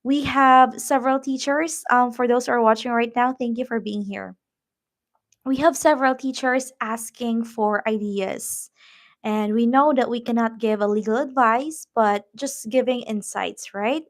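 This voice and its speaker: A woman with a Filipino accent hosts a casual live stream, speaking slowly with plenty of "um"s as she encourages her viewers. Her voice sits a little high for a woman. Her first language is Tagalog, and her delivery is unhurried and conversational. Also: steady tone. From Philippines. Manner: warm, encouraging, reads viewer comments, many "um" fillers. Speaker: YouTube livestream host.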